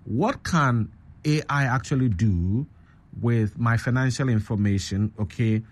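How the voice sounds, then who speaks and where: Man, radio breakfast host, measured questioning, interview. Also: mid-range voice. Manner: measured, probing questions with frequent backchannels.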